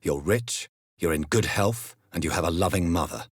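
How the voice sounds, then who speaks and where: An actor playing a grave, educated gentleman doctor in a video game, stern yet courteous, with a husky voice and formal, measured diction. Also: sits low, lively intonation, no room sound, clean studio sound. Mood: accusing. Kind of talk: dramatic video game dialogue.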